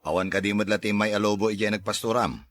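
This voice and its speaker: Guy acting as a filipino dad giving warnings, lowish voice, animated, dry close recording. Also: fast, no room sound, close to an everyday voice. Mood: cautioning. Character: cautioning father of a young shepherd boy. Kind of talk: audio drama acting.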